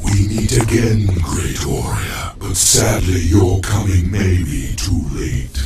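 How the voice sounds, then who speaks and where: Very deep, breathy ancient spirit. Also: male voice, expressive, takes it slowly, very noisy, heavily acted. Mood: solemn foreboding. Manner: grave, portentous. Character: ancient, solemn, weary male otherworldly spirit being. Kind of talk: video game cutscene acting. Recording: loud music behind the voice.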